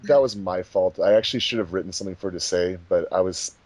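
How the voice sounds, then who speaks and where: Fast-talking man in casual commentary. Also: deep voice, darkish tone, no echo. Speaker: fan dub director, commentary co-host.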